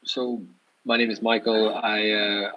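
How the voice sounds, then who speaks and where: A man's voice: fairly low pitch, level tone, a little slow, dull audio. A man with an American accent, chatting casually as an interview guest. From United States. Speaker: interview guest, bike shop owner. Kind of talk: casual chat.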